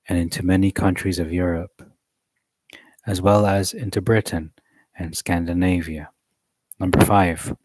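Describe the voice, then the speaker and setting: A man reading a study text aloud in a measured way, adding occasional joking asides. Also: deep voice, dry recording, poor audio.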